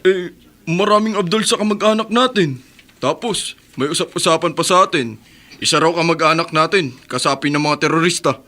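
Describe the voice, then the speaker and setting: A polite young newcomer. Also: male voice, a little high, moderate pace, bright, forceful tone. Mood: earnest. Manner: polite, respectful, uses po. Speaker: radio drama character. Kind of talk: radio drama acting.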